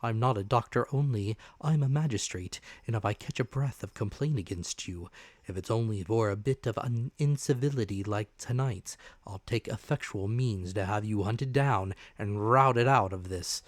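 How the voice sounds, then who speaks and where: A man voicing a stern, fearless doctor and magistrate in a storybook, speaking formally with firm, measured command. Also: fairly low pitch, dry recording, close to an everyday voice. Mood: stern warning.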